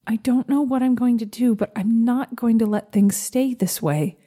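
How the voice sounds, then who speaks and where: Woman reading as protective schoolgirl, gentle, pleading, earnest, mellow tone, storybook dialogue. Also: fairly high pitch, normal speed, close to an everyday voice. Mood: soothing, resolute. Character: earnest, protective schoolgirl consoling her wax dragon.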